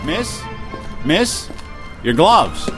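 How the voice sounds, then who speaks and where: A man's voice: on the high side, big pitch swings, music in the background, heavily acted. A stiff, stammering ex-serviceman. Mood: hesitant. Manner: halting, hesitant, stammers. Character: stiff awkward young ex-serviceman, earnest and dry. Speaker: character in an audio drama. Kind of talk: 1940s radio acting.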